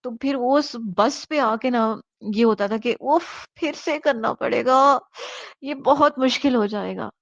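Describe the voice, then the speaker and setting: A woman's voice: a little high, slow, few pauses, no room sound. A woman answering interview questions in a conversational way. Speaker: amateur audio drama actress being interviewed. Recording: dry, slightly dull lo-fi sound.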